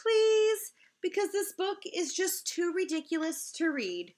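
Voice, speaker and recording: A woman's voice: very high-pitched, lively intonation, measured pace, darkish tone, pitched above the performer's own voice. A woman playing an exasperated grown-up forced to read a silly children's book aloud, theatrical and protesting. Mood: exasperated pleading.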